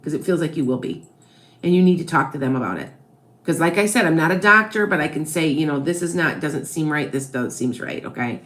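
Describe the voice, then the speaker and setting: A woman's voice: sits low, lively intonation, brisk, fairly bright tone. A woman with a Wisconsin-Chicago blended accent, a psychic tarot reader chatting through viewers' questions on a live stream and tagging her points with "okay? all right?". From American Midwest.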